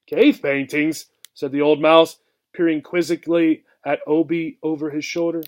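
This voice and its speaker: Higher-pitched man reading a children's book. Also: level tone, normal speed. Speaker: reader, teacher. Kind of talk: expressive children's book read-aloud narration.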